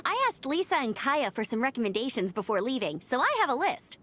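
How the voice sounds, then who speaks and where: A woman's voice: high voice, sing-song, a little fast, fairly bright tone, a little hiss or hum. A woman voicing an upbeat, loyal young adventurer in a video game, chatty, enthusiastic and encouraging. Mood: cheerful, chatty. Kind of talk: video game character dialogue. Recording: music under the voice.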